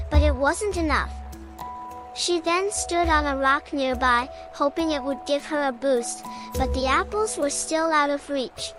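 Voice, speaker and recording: A woman reading a scripted children's bedtime story as a storyteller. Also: high voice. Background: music.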